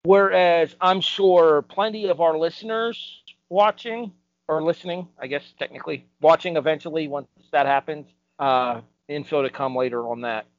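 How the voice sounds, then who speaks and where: Higher-voiced man, emphatic panel debater. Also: moderate pace. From United States. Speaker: podcast panelist. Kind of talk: opinionated panel discussion. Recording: dull, lo-fi close sound.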